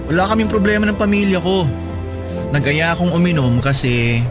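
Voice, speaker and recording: A man's voice: high voice, fairly quick, natural voice. An actor playing a playful young man out drinking with a friend, joking and teasing as he talks. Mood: casual, joking. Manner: joking, teasing, laughs easily. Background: music.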